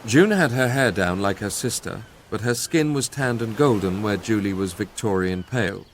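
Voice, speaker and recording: An actor playing a young Englishman who recounts his past in a measured, literary way for a radio drama. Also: fairly low pitch, a little hiss or hum, close to an everyday voice. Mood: measured recollection. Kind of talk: radio drama first-person narration.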